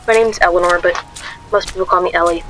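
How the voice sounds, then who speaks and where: A woman's voice: on the low side, fairly even intonation, quick pace, over music, natural voice, poor audio. A woman playing an anxious, guarded young shop worker, narrating a radio drama in a wry, confessional way. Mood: wry, uneasy narration.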